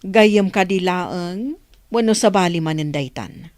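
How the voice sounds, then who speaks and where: A woman's voice: on the low side, a little slow, a little mellow, dry recording, clean studio sound, lightly acted. A woman acting a worried, scolding mother in an Ilocano radio drama. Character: worried, scolding mother questioning her grown child.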